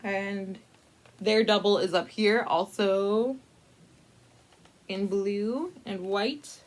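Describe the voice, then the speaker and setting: Woman, medium pitch, casual storytime narration, playful, wry asides, mock-dramatic, conversational, thinking aloud. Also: drawn-out words. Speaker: library storyteller reading a picture book to adults. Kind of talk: casual, improvised picture-book storytime narration.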